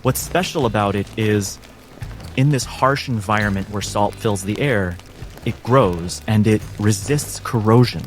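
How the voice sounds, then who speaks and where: A man acting as game master, narrating a tabletop game cinematically in measured, deliberate phrases. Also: fairly low pitch, faint music behind.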